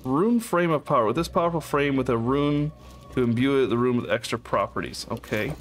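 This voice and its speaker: A man's voice: medium pitch, lively intonation, fairly quick. A man casually commenting on his co-op game as he plays, musing aloud and laughing often. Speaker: let's play host. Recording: game music behind voice.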